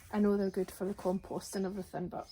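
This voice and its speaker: A woman, her voice raspy today, chatting casually as she works in her outdoor garden with birdsong around her. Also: mid-range voice, flat delivery, fast talker, dark tone, slight background noise. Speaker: gardening vlogger. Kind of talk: casual chat while gardening.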